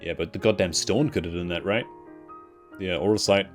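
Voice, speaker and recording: A man giving casual, excitable game reaction commentary, slangy with light swearing. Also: low-pitched, fairly quick. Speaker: gaming reaction host. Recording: game music behind the voice. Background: game soundtrack music.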